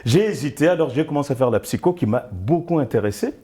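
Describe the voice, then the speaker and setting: A man in his fifties from the Democratic Republic of the Congo, a guest talking about his acting career in a relaxed interview, often chuckling as he tells his story. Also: mid-range voice, slightly rough. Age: 50s. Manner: chuckles often, speaks in measured storytelling phrases. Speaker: actor and theatre director, guest.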